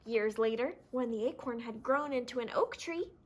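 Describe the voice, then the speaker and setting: A woman reading a children's story aloud in an animated, theatrical storytelling manner. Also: a little high, normal speed, very noisy. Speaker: storybook reader. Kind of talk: expressive scripted children's read-aloud.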